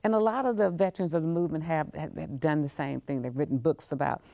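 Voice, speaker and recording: A woman's voice: low-pitched, quick pace, creaky, warm tone, no room sound. A woman talking as an interview guest, repeating words for emphasis. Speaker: museum leader, podcast guest. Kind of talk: conversational interview.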